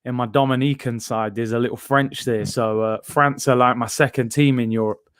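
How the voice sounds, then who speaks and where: A man's voice: medium pitch, fairly even intonation, normal speed, a bit bright, clear, resonant tone, no room sound, clean studio sound. A young man hosting a casual live stream, chatting loosely and reading out viewer comments. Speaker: watchalong host reading chat.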